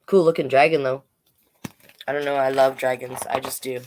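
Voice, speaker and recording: A woman's voice: rumbling low, steady tone, normal speed, a little mellow, low-quality recording. A woman hosting a casual livestreamed storytime, reading aloud and chatting with informal, rambling asides. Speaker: drag king storytime host and reader. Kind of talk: casual livestream chat and picture-book reading.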